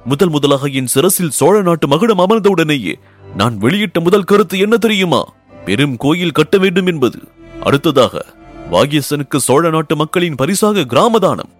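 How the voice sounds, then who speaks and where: A man's voice: fairly high pitch, voice pushed up. A man voicing a newly crowned emperor, eager, tender and commanding, in stately declamation for a historical audiobook.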